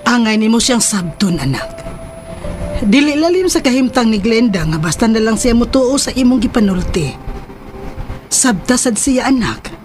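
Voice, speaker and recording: A woman playing a patient, supportive mother of a grown son in a radio drama, gentle and consoling. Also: on the high side, lively intonation, moderate pace, a little hiss or hum, lightly acted.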